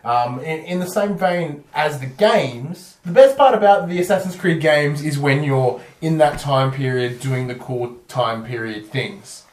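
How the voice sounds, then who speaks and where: Echoey, higher-voiced Australian man. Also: animated, measured pace, hardly any pauses. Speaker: podcast host. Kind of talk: casual film review discussion. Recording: echoey room, far from mic.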